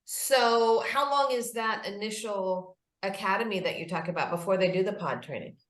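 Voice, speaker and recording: A woman's voice: medium pitch, normal speed. A woman hosting an interview podcast, thinking aloud as she asks questions and reacting with exclamations. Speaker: podcast host. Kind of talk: conversational interviewing. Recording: roomy, echoing sound.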